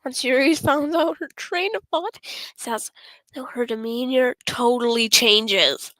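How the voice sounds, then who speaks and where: A woman streamer reading a visual novel aloud with casual asides, halting and stumbling over the lines as she goes. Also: on the high side, fairly bright tone, no echo, low-quality recording. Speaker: gaming streamer.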